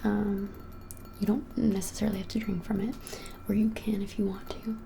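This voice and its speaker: A woman in her twenties whispering softly and without hurry in an ASMR roleplay as a shop keeper, often hesitating. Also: medium pitch, level tone, gravelly, noisy background. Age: 20s. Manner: soft whispering, hesitant and unhurried. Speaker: ASMR creator playing a witch shop keeper. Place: small plain-walled room, indoors.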